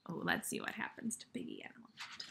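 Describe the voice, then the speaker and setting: A woman's voice: quick pace, sharp tone. A woman, reading and asking questions as a kindergarten teacher. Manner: instructional, questioning, addressing children directly. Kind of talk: read-aloud lesson for young children. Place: indoor room with brick wall, by a window.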